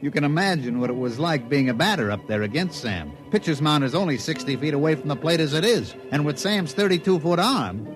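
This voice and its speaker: A man's voice: on the high side, lively intonation, a little fast, hardly any pauses, natural voice. An actor playing a wry baseball team man who tells a tall tale in a colloquial, folksy way in an old radio drama. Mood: wry recounting. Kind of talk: 1950s radio drama acting, folksy narration. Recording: vintage radio broadcast with music.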